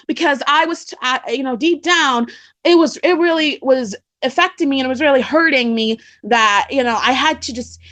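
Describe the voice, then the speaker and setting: A woman with a high voice talks casually as a co-host, reading from articles along the way. Her delivery is halting, with phrases repeated and restarted as she goes. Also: a bit bright. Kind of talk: casual chat, reading blog posts aloud.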